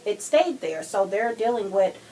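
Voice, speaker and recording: A woman's voice: mid-range voice, lively intonation, normal speed, dark tone. A woman co-hosting a podcast, talking casually and emphatically as she argues her points in a true crime discussion. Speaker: podcast co-host.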